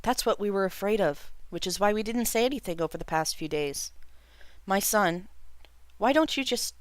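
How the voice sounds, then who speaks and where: A reader doing a soft-spoken worried queen mother. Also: medium pitch, expressive, moderate pace, clean tone, no echo, pitched above the performer's own voice, natural voice. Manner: soft, pleading, concerned. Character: gentle worried middle-aged queen mother. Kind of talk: fiction read aloud.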